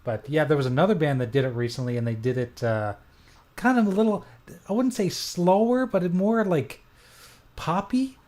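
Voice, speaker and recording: A man's voice: on the high side, normal speed, warm tone. A man in his forties chatting on a podcast, telling rambling stories with many restarts. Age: 40s. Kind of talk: casual podcast chat.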